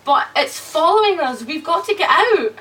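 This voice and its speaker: An actress playing a frightened, pleading wife trapped in a nightmare, in an old radio drama. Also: female voice, extremely high pitch, sing-song, moderate pace, pushed and bright, heavily acted. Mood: desperate pleading. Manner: urgent, pleading, emotional. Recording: distant, noisy old radio recording.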